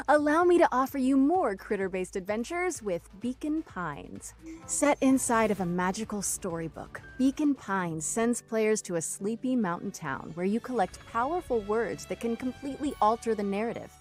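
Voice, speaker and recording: A woman co-hosts a video game showcase in a scripted comic style. She is wry and teasing, with a fairly high, very animated voice. Soft music sits behind her. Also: moderate pace.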